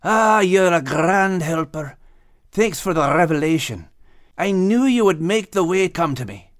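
A man's voice: sits high, expressive, a little slow, dry recording, studio quality, voice pushed up. A man voicing a brave but self-doubting male dog with a Scottish accent for an audiobook. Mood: grateful.

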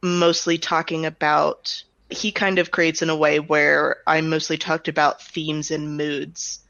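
A woman speaking as an interviewed director, explaining her creative work in a thoughtful, reflective way with softened statements. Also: low voice, little pitch movement, a little slow, vocal fry, no echo, lo-fi sound. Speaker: audio drama director and writer being interviewed.

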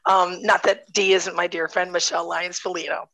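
A woman with laryngitis hosting a podcast, talking in a raspy, hoarse voice and laughing often, recorded over a video call. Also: on the low side, level tone, moderate pace, fairly bright tone, rough recording. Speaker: podcast host. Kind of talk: casual chat.

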